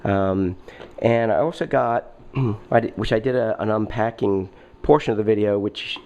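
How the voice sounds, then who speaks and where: A man with a head cold and a nasal voice, talking casually as a video host while unboxing, halting often with fillers and clearing his throat. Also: fairly low pitch, a little mellow, muffled recording.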